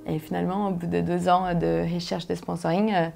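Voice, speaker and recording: A young woman from Brazil, a native Portuguese speaker with a Brazilian accent, telling her story conversationally and haltingly as she searches for words. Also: fairly low pitch, level tone, gravelly, mellow. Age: young adult. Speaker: interview guest, solo sailor.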